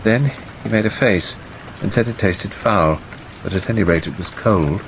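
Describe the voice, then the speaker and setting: A man's voice: low voice, normal speed. A man narrating an audiobook in a scripted, dramatic storytelling style, as the narrator of a tale. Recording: steady rain noise over the voice. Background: rain ambience.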